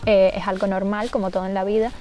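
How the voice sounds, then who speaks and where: A woman's voice: medium pitch, flat delivery, fast talker. A young adult woman with a Cuban accent speaking conversationally and explaining things in a casual vlog as she responds to viewers. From Cuba. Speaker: YouTube content creator.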